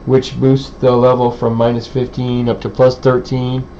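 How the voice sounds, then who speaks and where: Halting man, dull lo-fi recording. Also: medium pitch, monotone, mellow. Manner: halting, hesitant delivery with many pauses. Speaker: presenter, radio hobbyist. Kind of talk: informal technical demonstration. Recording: dull, lo-fi sound with faint background noise.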